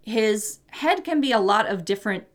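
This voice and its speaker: A woman co-hosting a podcast, explaining mythology in relaxed chat with many fillers and hedges. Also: mid-range voice, moderate pace. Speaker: podcast co-host. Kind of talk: casual chat about mythology.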